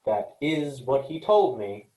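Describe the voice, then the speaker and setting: Crewmate for space comedy, male reader: matter-of-fact, unimpressed, mid-pitched, roomy echo. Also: very animated, takes it slowly, warm tone. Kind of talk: staged comedy play reading. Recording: echoey room sound.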